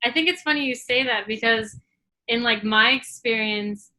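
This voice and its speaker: A woman's voice: a little high, normal speed, sharp tone, poor audio. A woman student co-host chatting conversationally about her own experience. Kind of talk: casual chat.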